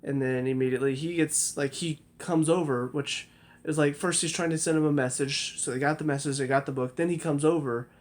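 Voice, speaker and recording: A man's voice: fairly high pitch, steady tone, brisk. A man giving a casual spoken review of a TV episode, critical and rambling, often restarting his sentences.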